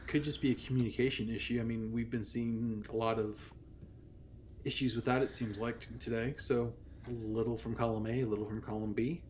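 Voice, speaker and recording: A man's voice: medium pitch, flat delivery, a little fast, darkish tone. A man talking casually and matter-of-factly as a player in a tabletop game. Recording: faint background noise on live stream.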